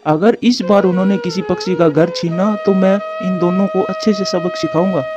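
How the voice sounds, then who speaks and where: A man's voice: high voice, very animated, normal speed, muffled recording, over music. A man voicing an indignant, hardworking male forest bird in a Hindi cartoon.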